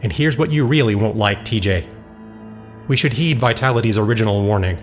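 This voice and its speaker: A man's voice: on the low side, fairly quick, lightly acted. Man playing a blunt, commanding leader over faint music. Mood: grim, resolute. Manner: blunt, decisive. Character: resolute, commanding male leader of a group. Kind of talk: audio drama acting.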